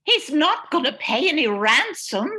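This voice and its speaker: A woman's voice: sits high, sing-song, a little slow, pushed and bright, heavily acted. A woman playing a spirited, pleading young kidnap victim in an amateur old-time radio drama. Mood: indignant pleading.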